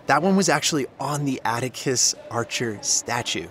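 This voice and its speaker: Man playing an easygoing teenage boy, mid-pitched. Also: animated, normal speed, a bit bright, lightly acted. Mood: gossipy. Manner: casual, reassuring. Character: easygoing, supportive teenage boy, loyal friend. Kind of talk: naturalistic audio drama acting.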